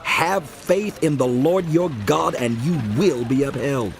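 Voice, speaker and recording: A man's voice: a little high, lively intonation, slow, vocal fry, a little hiss or hum, full character voice. An actor playing a devout king in a dramatized audio Bible, imploring and declaiming with fervour. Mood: rousing, fervent. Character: pleading devout king of Judah, fervent, anguished. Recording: music behind the voice.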